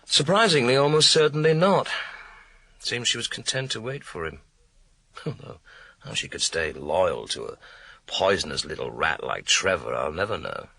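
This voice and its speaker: An actor playing a weary, sardonic police inspector in a radio crime drama, blunt and dry in manner. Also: male voice, low-pitched, expressive, normal speed, gravelly, pushed and bright, no room sound, heavily acted. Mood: grim, dry.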